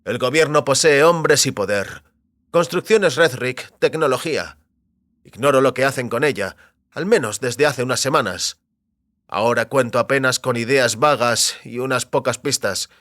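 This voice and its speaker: An actor playing a wary, determined man trapped between powerful forces, speaking deliberately in an audio drama. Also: mid-range voice, a bit bright, dry recording, studio quality, natural voice. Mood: wary, bitter.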